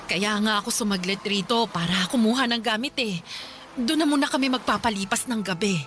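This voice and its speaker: A woman's voice: mid-range voice, a little fast, fairly bright tone, soft background music, close to an everyday voice. An actress playing a worried mother of six, the wife of a poor fisherman, in a radio drama. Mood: reassuring. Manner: anxious, tearful.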